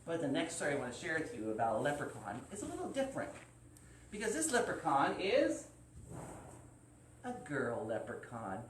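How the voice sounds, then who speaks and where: A man's voice: fairly high pitch, huge pitch swings, normal speed, long pauses. Guy doing kids story time, really up and down voice, roomy and far from the mic. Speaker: library storyteller and host. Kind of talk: live children's story time, animated storytelling. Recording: roomy, distant mic, faint noise.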